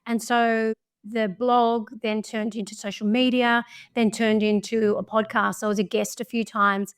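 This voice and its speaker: A woman's voice: on the high side, flat delivery, measured pace, smooth. Chatty Australian woman. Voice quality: chatty, laughs often. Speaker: podcast guest, travel creator. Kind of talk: casual storytelling chat.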